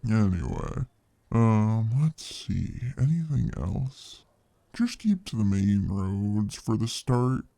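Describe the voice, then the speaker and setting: A man's voice: fairly low pitch, very animated, slow pace, gravelly, mellow, no room sound, heavily acted. A man playing a friendly, easygoing fantasy tavern bartender who chats casually and folksily to the listener in an ASMR roleplay. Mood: chatty, easygoing. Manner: laid-back, hesitant, folksy, chatty.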